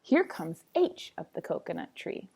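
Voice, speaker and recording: A woman's voice: lowish voice, big pitch swings, a little slow, creaky, dark tone, a little hiss or hum. A woman reading a picture book aloud like a teacher, with a sing-songy, playful, dramatic delivery and chatty asides.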